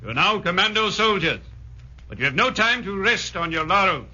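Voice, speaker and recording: A stern commanding officer in an old radio play, addressing his men formally. His voice is raised high and rings out very bright, with big swings. The recording is dull and dated. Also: big pitch swings, moderate pace, heavily acted. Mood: solemn, rousing. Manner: declamatory, formal. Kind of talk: 1940s radio acting, formal speech. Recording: muffled 1940s radio broadcast.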